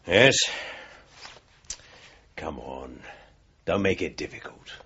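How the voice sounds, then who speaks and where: Brisk British policeman, husky and forceful. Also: rumbling low, expressive, heavily acted. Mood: businesslike, firm. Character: brisk, hard-edged male police officer making an arrest. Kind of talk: 1980s British radio drama acting. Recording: dull lo-fi radio drama recording.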